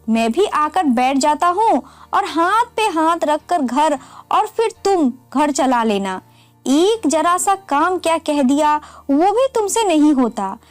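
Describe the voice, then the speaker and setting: A woman's voice: high voice, no room sound. A woman narrator voicing an irritable, domineering older brother with a scolding manner in an audio story.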